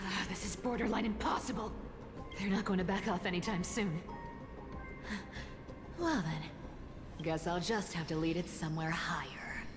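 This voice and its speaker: A woman's voice: lowish voice, moderate pace, long pauses, natural voice. An actress playing a cocky, taunting young female trickster thief in a dramatic, theatrical video game style. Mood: weary resolve. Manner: theatrical, teasing, defiant. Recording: background music throughout. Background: loud game music.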